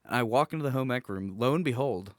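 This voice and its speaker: A man's voice: medium pitch, expressive, fast talker, dry recording, clean studio sound. A man co-hosting a podcast, telling anecdotes in a wry, informal, joking manner. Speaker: podcast co-host. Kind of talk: casual chat, telling anecdotes.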